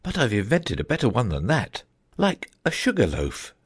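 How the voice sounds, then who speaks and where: A man voicing a solemn, dreamy old knight who rambles about his inventions, in a husky voice. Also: moderate pace. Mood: musing. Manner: rambling, thoughtful, lively pitch swings.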